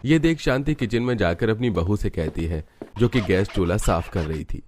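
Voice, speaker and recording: A man narrating a cartoon story in a steady, scripted storytelling manner. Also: fairly low pitch, normal speed, background noise.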